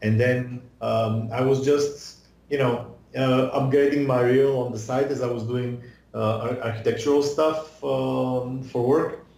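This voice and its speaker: A man's voice: medium pitch, fairly even intonation, a little slow, gravelly, a little mellow. Bulgarian man on a roomy video call. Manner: hesitant, many fillers and restarts. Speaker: guest, visual effects artist. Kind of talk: casual interview over video call. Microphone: video call webcam mic.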